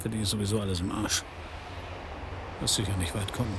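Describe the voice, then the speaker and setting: An actor playing a world-weary private detective in a German radio crime drama, terse and gravelly, sometimes hushed. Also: male voice, fairly low pitch, steady tone, fairly quick, background noise, natural voice. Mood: confrontational. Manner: terse, laconic. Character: world-weary male private detective, hard-boiled narrator. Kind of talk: German radio crime drama, first-person noir narration and dialogue.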